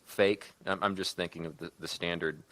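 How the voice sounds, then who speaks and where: A man with a very low-pitched voice speaks as a guest in a casual discussion. He is thoughtful and measured, with some hesitation as he puts his ideas together. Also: fairly even intonation, sharp tone, no room sound, poor audio. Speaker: podcast guest, co-producer and writer.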